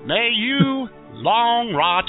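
A man's voice: very high voice, sing-song, drawn-out words, full character voice. A man playing a crude, irreverent Dutchman delivering a mock-formal comic message with theatrical relish. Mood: mock-celebratory, crude. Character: irreverent Dutch man Guy, cheerfully crude and bitter about his father. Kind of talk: comic monologue as a message to radio hosts. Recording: phone-like narrow band, music behind.

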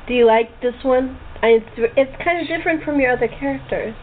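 A woman's voice: high-pitched, a little fast. A woman hosting a casual, chatty group interview as the playwright and director. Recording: tinny phone-like call with hiss.

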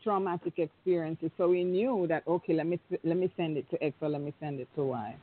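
A woman, a guest on a radio panel, speaking reflectively and restarting her sentences often. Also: low-pitched. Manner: reflective, halting with many restarts. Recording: phone-like narrow sound.

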